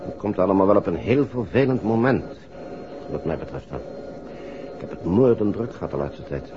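Actor as a low-voiced, weary businessman, noisy old recording. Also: animated, moderate pace, muffled recording, natural voice. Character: busy, weary businessman fretting over a family quarrel. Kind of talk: 1960s Dutch radio drama acting.

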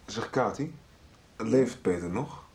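A man's voice: fairly low pitch, expressive, takes it slowly, darkish tone. Cheeky young man, echoey room. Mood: probing. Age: young adult. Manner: teasing, pestering. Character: Ferry, cheeky, teasing grown son wheedling his mother. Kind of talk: Dutch radio soap acting. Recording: roomy, echoey sound.

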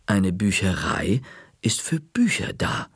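A stern, bony old librarian woman speaks in a curt, dry manner with a faint edge of menace, put on by a male reader. Her voice sits low and gravelly with a husky grain. It is recorded close and dry like a storybook reading. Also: very animated, slow pace, dry recording, full character voice.

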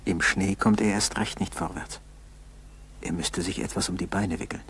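An actor playing a solemn narrator who gives terse present-tense commentary in a 1960s German radio play. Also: male voice, deep voice, steady tone, moderate pace, gravelly, a bit bright, breathy edge, exaggerated voice. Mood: tense, observing. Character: grave male narrator urgently observing a struggling man.

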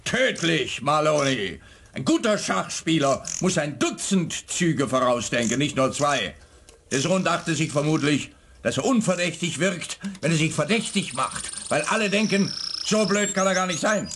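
An actor playing a pompous, slow-witted policeman in a radio crime comedy, theorising in a ponderous, emphatic way. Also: fairly high pitch, expressive, a bit bright, husky, full character voice. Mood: earnestly pompous.

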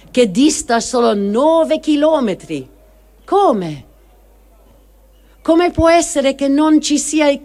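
A grieving, guilt-ridden adult child voiced by a performer reads out a personal testimony. The delivery is very unhurried and deliberate, with emphatic pauses, like a literary radio reading. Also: medium pitch, darkish tone. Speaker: reader of testimony. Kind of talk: dramatic literary reading of a first-person diary.

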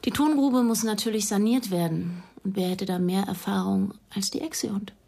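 An actress playing a weary, bitter police investigator in a radio crime drama, terse and sardonic. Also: female voice, mid-range voice, normal speed, gravelly, dark tone. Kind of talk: naturalistic German radio crime drama acting.

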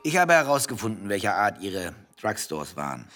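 An actor in a German radio play playing an arrogant, possessive wealthy husband, domineering and contemptuous. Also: male voice, on the low side, sing-song, normal speed, a bit bright, soft background music. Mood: accusing.

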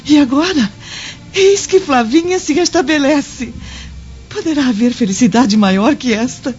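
An actress with a Brazilian accent playing a devout, gentle Roman wife and mother in a radio drama, earnest and pleading. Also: high-pitched, lively intonation, measured pace, soft background music. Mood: grateful, joyful. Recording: dull lo-fi recording.

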